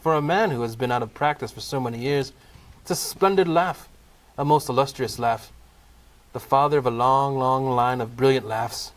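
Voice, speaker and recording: A man with a medium-pitched voice narrates a comic audio play. His delivery is dramatic scripted storytelling, broken into short, deliberate phrases.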